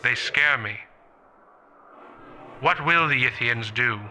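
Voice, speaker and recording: Man playing an earnest, sharp-voiced professor. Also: mid-range voice, takes it slowly, a little hiss or hum. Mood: wary. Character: thoughtful, morally troubled human professor among aliens. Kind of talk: radio play acting.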